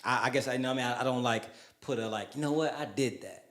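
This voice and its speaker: Quick-talking laid-back man in his thirties. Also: mid-range voice, fairly bright tone. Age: 30s. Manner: laid-back, trails off, repeats words, slangy. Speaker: podcast host. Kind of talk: casual chat. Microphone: studio podcast mic he set up himself.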